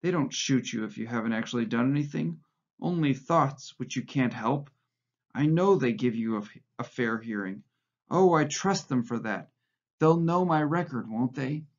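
A man's voice: mid-range voice, expressive, normal speed. A man voicing a frightened, fawning middle-aged male prisoner who pleads eagerly and nearly blubbers. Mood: pleading. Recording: muffled lo-fi recording.

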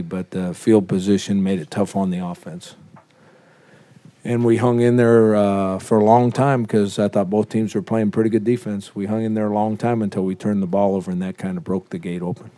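A man fielding reporters after a loss, speaking low and mellow. he talks plainly and bluntly, with a few uh's as he works out each answer. it sounds like a head coach at a postgame podium. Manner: measured, plain-spoken, blunt with fillers. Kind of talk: postgame press conference answers.

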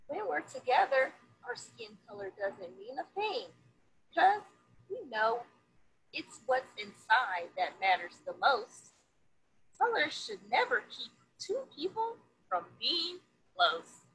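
A woman in her thirties reading a picture book aloud to young children in an expressive, deliberate, sing-song storytelling manner. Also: high-pitched, vocal fry, rough recording.

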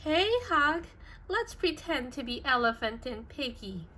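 A woman voicing an excitable, carefree storybook elephant, exclaiming with dramatic delivery. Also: sits high, unhurried, slight background noise, exaggerated voice. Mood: eager. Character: excitable, carefree cartoon elephant, eager to pretend.

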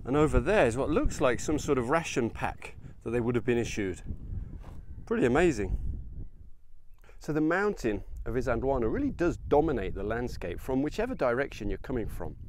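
Guy in his 30s chatting to camera on a hike, informal, average pitch, bit of open-air noise. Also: sing-song, moderate pace. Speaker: host of a history hiking vlog. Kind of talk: casual vlog commentary while hiking. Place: outdoors in open countryside on a hiking trail.

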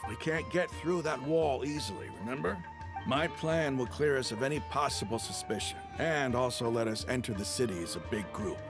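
An actor playing a hardened desert mercenary leader in a video game, passionate, familiar and persuasive in manner. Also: male voice, medium pitch, normal speed, loud music behind the voice, lightly acted. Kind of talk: video game character acting.

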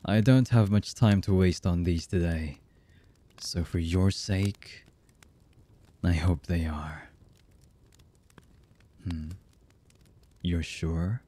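An actor playing a cold, heartless villain boss in an ASMR roleplay, with a husky voice and a menacing, mock-polite manner. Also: male voice, sits low, slow pace, long pauses, warm tone. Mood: cold, impatient. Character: cold, heartless male villain boss, calmly threatening captives.

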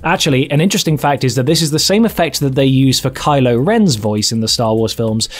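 A man's voice: medium pitch, expressive, fairly quick, soft background music. A man in his twenties narrating an instructional video, explaining each step directly to viewers. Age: 20s. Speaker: audio tutorial presenter.

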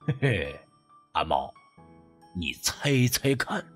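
A man's voice: low-pitched, very animated, slow pace, fairly bright tone, breathy edge, soft background music, voice pushed down, full character voice. A man voicing a sly, teasing, superstitious friend who wheedles and drawls in an audiobook story.

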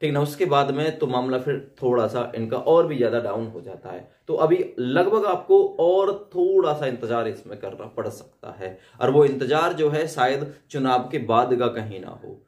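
A man's voice: medium pitch, animated, normal speed, hardly any pauses, a little mellow. Echoey young man explaining. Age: young adult. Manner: repeats himself, frequent "hai na" tag questions. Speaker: host of exam update videos. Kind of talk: informal explainer addressed to students.

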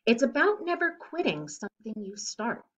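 A middle-aged woman reads a rhyming children's picture book aloud in a deliberate, expressive way, recorded at home on a device mic. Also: a little high. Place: living room at home, indoors. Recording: dull lo-fi webcam sound.

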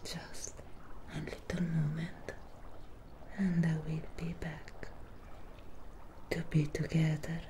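Female Frankenstein creature, played by a woman, devoted and possessive. Low, hushed ASMR whisper with very little pitch movement, soothing, close-miked. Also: little pitch movement, a little hiss or hum. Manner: whispered, slow, soothing, drawn-out phrases. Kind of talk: ASMR roleplay, close-up whispering and soft talking. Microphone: close-up microphone.

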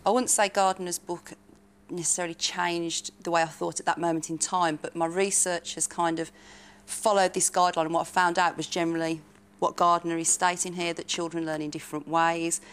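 A woman, giving measured explanations in a panel discussion. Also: on the low side, little pitch movement, clean tone. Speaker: panellist, researcher.

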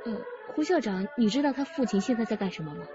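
A woman acts out an inquisitive policewoman in a radio play. Her voice sits a little high and swings widely in pitch. It is outspoken, on a slightly dull recording. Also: lively intonation, quick pace, lightly acted. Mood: questioning. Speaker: voice actor in radio drama. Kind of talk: radio drama dialogue. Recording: band-limited radio drama with music behind.

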